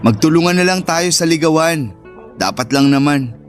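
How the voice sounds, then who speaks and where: A man's voice: a little high, moderate pace, lightly acted. A man playing a persistent, devoted suitor who sweet-talks and teases in an audio romance drama. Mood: earnest courting. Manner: teasing, smooth-lined courting. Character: persistent, sweet-talking male suitor, playful and devoted to his ex. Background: music.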